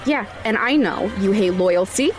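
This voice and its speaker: A woman's voice: medium pitch, expressive, moderate pace, faint music behind, lightly acted. A woman acting in an audio drama as an indignant, foul-mouthed casino front-desk worker, exasperated and sarcastic.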